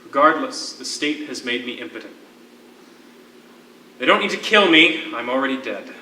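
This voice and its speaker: Anguished jailed poet played by a man, theatrical, deliberate and broken by grief. Distant mic, noisy recording. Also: medium pitch, big pitch swings, sharp tone. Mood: resigned. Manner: deliberate, drawn-out phrasing with dramatic pauses. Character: anguished imprisoned poet, a grieving, defeated widower. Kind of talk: theatrical stage acting, dramatic monologue. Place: theatre stage, miked from a distance. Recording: echoey, noisy stage recording from far off.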